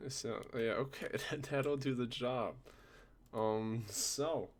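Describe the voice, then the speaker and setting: A man presenting a software tutorial informally, hesitating and thinking aloud as he goes. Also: lowish voice. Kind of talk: casual screen-recorded software tutorial. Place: indoors at a computer. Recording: noisy home desktop recording, keyboard clicks. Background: keyboard typing, background noise.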